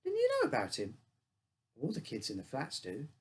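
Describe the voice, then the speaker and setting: A man voicing a knowing young girl from the council flats in a horror story, colloquial and slightly bitter. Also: mid-range voice, moderate pace. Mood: amused, teasing. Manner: colloquial, matter-of-fact, knowing. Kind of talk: acted dialogue in a read-aloud horror story.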